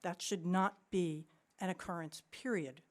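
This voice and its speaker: Woman, lowish voice, impassioned unprepared public commenter, halting and insistent. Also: fairly even intonation. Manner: earnest, pleading, halting with restarts. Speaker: parent giving public comment. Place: public meeting room.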